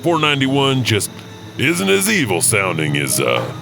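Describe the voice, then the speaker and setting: A man's voice: mid-range voice, wildly swooping pitch, a little slow, gravelly, over music, heavily acted. A man playing a folksy, wry, teasing narrator of ghost stories. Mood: wry, ominous. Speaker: narrator and storyteller. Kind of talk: spooky anthology narration.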